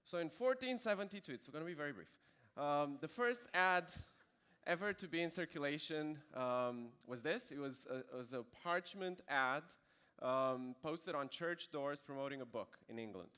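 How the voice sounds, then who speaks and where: Man, 30s, Romanian accent, conference keynote, slightly high voice, smooth and resonant. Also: fairly bright tone. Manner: conversational with dry jokes and fillers. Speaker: conference speaker. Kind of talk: keynote presentation.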